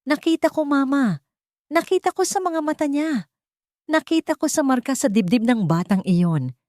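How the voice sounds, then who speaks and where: A woman's voice: high-pitched, lively intonation, moderate pace, a little mellow, no echo, voice pushed up. An angry, wounded grown son in a radio drama, acted out by a woman. The delivery is emphatic and accusing, pleading for the truth. The sound is close and dry. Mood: shouting, anguished. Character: angry anguished grown son confronting his mother. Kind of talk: Tagalog radio drama acting.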